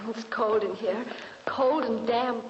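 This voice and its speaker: A woman's voice: fairly high pitch, fairly even intonation, slow. An actress playing an anxious, pleading young lady in 1940s radio horror, with a plaintive, theatrical manner. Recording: very noisy, echoing, muffled 1940s radio recording.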